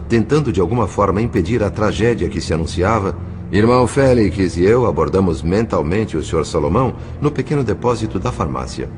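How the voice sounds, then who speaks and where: A man with a Brazilian accent playing a grave, compassionate narrator in an audio drama, in measured, literary phrasing. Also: sits low, noisy background.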